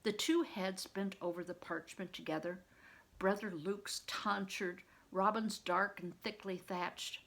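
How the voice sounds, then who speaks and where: An older woman reads a story aloud in a narrator's role. Her voice has a noticeable creak and gravel to it. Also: medium pitch, steady tone, unhurried, fairly bright tone. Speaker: storybook reader, retired reading teacher.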